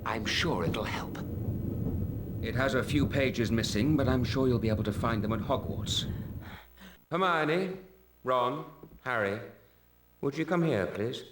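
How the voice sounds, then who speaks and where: A man voicing a gentle, weary male wizard teacher, speaking in a calm, kindly, soft-spoken way in a game cutscene. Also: medium pitch, a little slow, long pauses, background noise, natural voice. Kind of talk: video game cutscene acting.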